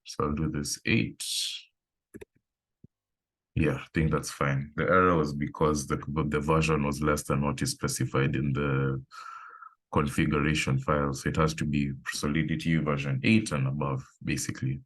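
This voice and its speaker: A man with a very deep voice leading a live online coding lesson, thinking aloud with pauses and fillers. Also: little pitch movement. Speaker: coding instructor.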